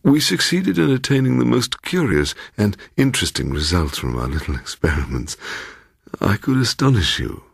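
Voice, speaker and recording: Sinister gentleman for a ghost story, acted by a man: pompous, insinuating, raspy, animated delivery, close dry sound. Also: on the low side, normal speed, no room sound, exaggerated voice. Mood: aggrieved, self-justifying. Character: sinister pompous gentleman host obsessed with the occult. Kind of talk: dramatised audiobook character voice.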